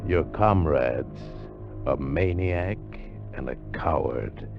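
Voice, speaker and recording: A dread-filled narrator for an old radio thriller. His very deep voice moves slowly and gravely, with deliberate suspense. Music plays strongly behind him. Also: lively intonation, exaggerated voice. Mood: ominous. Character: ominous storyteller setting a scene of dread. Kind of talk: 1950 radio horror trailer narration. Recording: muffled vintage radio recording, music behind.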